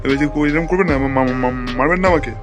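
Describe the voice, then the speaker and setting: A man's voice: on the high side, fast, close to an everyday voice. A man voicing a grumbling, greedy and cowardly working man in a cartoon. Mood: frightened, pleading. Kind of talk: cartoon voice acting. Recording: music behind the voice.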